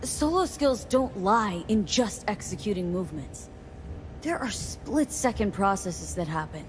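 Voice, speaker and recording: A woman's voice: medium pitch, lively intonation, measured pace, slight background noise, lightly acted, poor audio. An actress playing a streetwise, dry-witted female mercenary in a video game, talking in a cool, wry, casual way. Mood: probing, skeptical. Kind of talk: video game cutscene acting.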